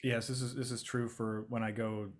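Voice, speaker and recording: A man from Michigan with a Michigan accent, hosting a casual video call and reading audience questions, chatty and quick to laugh. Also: mid-range voice, little pitch movement. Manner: chatty, laughing often, repeats words.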